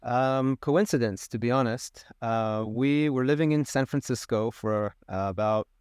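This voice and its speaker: A man in his thirties from Israel, a molecular biologist answering questions in a relaxed, thoughtful way. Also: mid-range voice, a little slow, clear, resonant tone, no room sound, clean studio sound. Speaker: podcast guest, molecular biologist. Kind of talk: conversational interview.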